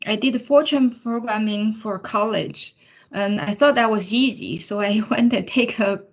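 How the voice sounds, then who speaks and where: A woman's voice: medium pitch, a little slow, slightly rough, muffled recording. Woman in her 50s, native Chinese speaker from China, interview guest. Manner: plain storytelling with light laughs. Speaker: software engineering manager. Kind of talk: interview answers.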